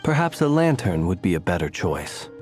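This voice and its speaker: An actor playing a polite, refined, calmly curious gentleman in a video game. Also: low-pitched, normal speed, soft background music. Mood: curious, courteous. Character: courteous, calmly intrigued gentleman. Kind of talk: video game character acting.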